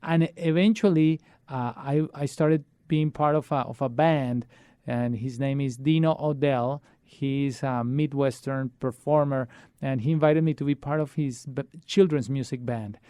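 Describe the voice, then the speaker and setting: Earnest man, mellow voice. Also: medium pitch, steady tone, smooth, no room sound, studio quality. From Colombia. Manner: earnest, halting with many restarts. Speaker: children's musician, guest. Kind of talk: casual interview talk.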